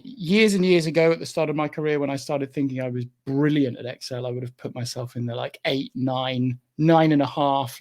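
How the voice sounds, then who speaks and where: Man, co-host, casual chat, candid, self-mocking, rambling with restarts. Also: mid-range voice. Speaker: podcast co-host.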